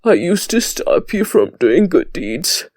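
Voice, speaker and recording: A woman acts an ailing old father in an animated moral tale. The voice is lowered, dark and slow, heavy with regret, and recorded very dry. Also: dry recording, exaggerated voice. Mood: remorseful, weak. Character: sick, remorseful old father begging his son's forgiveness.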